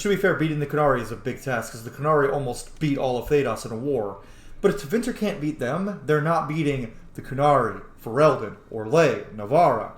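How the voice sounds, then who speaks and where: A man giving casual, sweary, mocking commentary as he roleplays through a video game. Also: on the high side. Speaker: gaming video host. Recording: echoey room.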